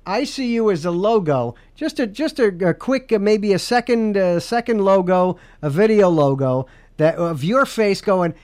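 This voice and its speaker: A man with a high, smooth and resonant voice hosting a podcast. He rambles through loose, joking talk and keeps restarting his sentences. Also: animated, normal speed, hardly any pauses, a little mellow. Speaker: podcast host. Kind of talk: casual comedic chat.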